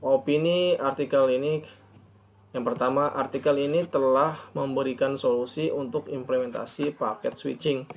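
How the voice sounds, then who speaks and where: A man giving an academic presentation as a student, formally reading out points and then explaining them haltingly. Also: medium pitch, vocal fry, slight background noise. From Indonesia. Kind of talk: academic presentation of an article review.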